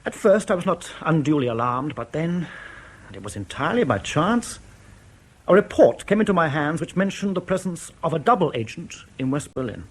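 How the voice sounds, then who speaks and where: Measured, reflective East German defector. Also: male voice, a little high, very animated, husky. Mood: uneasy, recounting. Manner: measured, deliberate, ruminative. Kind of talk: radio drama dialogue.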